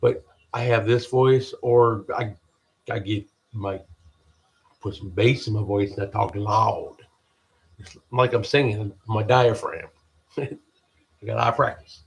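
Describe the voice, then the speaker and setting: A deep-voiced man from the United States hosting a podcast, giving a halting, rambling spiritual pep talk full of restarts. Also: fairly even intonation. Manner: halting, restarts often, repeats "people". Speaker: podcast host.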